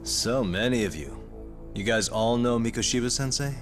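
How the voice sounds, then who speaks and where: A man playing a calm, wry detective who is patient with teenagers, in a laid-back video game cutscene style. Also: mid-range voice, moderate pace, lightly acted. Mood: cool, unimpressed. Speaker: private detective advising a school club. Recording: music behind the voice.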